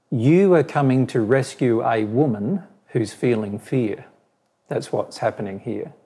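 Hoarse man with a dark husky voice. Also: mid-range voice. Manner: earnest, direct, repetitive phrasing. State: hoarse, voice giving out. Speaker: spiritual teacher answering questions. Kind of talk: explanatory interview answer. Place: small studio with plain backdrop. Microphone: lapel mic.

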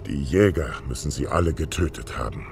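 Ein Mann spielt einen ruhigen, autoritären außerirdischen Jedi-General in einem Zeichentrick-Hörspiel. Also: male voice, rumbling low, lively intonation, a little fast, gravelly, a little mellow, slight background noise, heavily acted. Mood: grave. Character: calm, authoritative male alien Jedi general, caring leader. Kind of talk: German animated-series audio drama dubbing.

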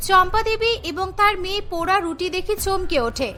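A woman narrates a scripted cartoon tale in a very high, bright voice. Soft music plays behind her storytelling. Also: a little fast.

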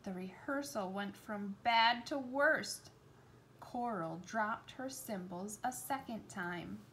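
A woman's voice: mid-range voice, takes it slowly, a bit bright. A woman reading a children's storybook aloud as a storyteller. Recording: noticeable background noise.

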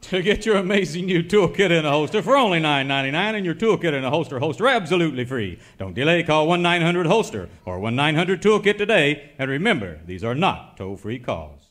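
An actor playing a brisk male radio announcer reading ordering details in a parody commercial. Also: a little high, very animated, a bit bright.

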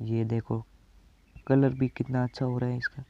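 A man's voice: mid-range voice, little pitch movement, moderate pace, dull audio, background noise. A teenage male from India giving a casual step-by-step tutorial, addressing viewers as friends and often checking they follow. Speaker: tutorial presenter.

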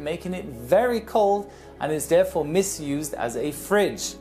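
A man's voice: sits high, a little mellow. Man in his thirties, scripted aviation explainer, instructional, direct address, background music. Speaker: pilot and video presenter. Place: small indoor studio.

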